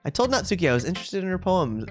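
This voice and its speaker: A woman live streamer with a slightly low, animated voice. She chats casually with wry, self-deprecating humour while reading through a visual novel, with music playing behind her. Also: racing. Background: game music in the background.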